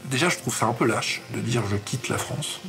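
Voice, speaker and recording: A man's voice: mid-range voice, moderate pace, vocal fry, fairly bright tone, soft background music. A man in his twenties, giving thoughtful interview answers and stumbling over his words at times. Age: 20s. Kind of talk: documentary interview testimony.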